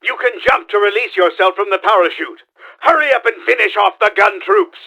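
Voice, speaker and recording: Pompous high-pitched villain, theatrically bossy. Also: male voice, expressive, a little fast, gravelly, pushed and bright, a little hiss or hum, heavily acted. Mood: urgent, commanding. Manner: theatrical, blustering, commanding. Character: pompous scheming male villain, bossy, cackling mad-genius commander. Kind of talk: video game character voice lines.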